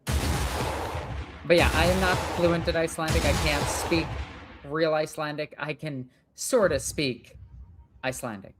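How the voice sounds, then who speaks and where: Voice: mid-range voice, steady tone, measured pace, long pauses, soft background music. A young adult gaming streamer chatting casually and rambling while playing a strategy game, swearing now and then.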